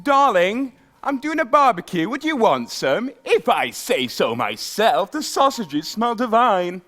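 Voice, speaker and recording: A pompous, fussy king in broad stage panto style. He is posh and dithering, and his pitch leaps extremely widely. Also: big pitch swings, measured pace, fairly bright tone, faint music behind, exaggerated voice. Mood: cheery, excitable.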